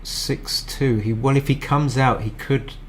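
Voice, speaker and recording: A man's voice: medium pitch, steady tone, measured pace, slight background noise. Chatty man thinking aloud on a stream. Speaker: backgammon commentator and streamer. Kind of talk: casual live match commentary.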